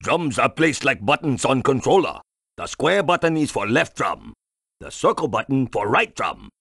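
A man voicing a friendly, excitable tiki statue in a cartoon video game, husky, speaking broken English with chanted exclamations. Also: medium pitch, normal speed, no echo, studio quality, exaggerated voice. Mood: instructing. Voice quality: husky, gravelly.

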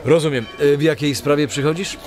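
A man's voice: a little high, steady tone, fairly quick, over music, natural voice. A man playing a dryly teasing, commanding army recruiting soldier in a children's audio drama. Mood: dry, suspicious. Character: gruff but amused army recruiting soldier in 1920.

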